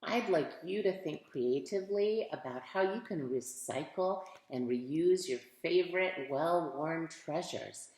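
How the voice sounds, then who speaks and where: A woman's voice: low voice, animated, a little slow, hardly any pauses, darkish tone. A woman reading a picture book aloud to children, expressively, putting questions to her young listeners. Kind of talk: storytime read-aloud for children. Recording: roomy, echoing sound.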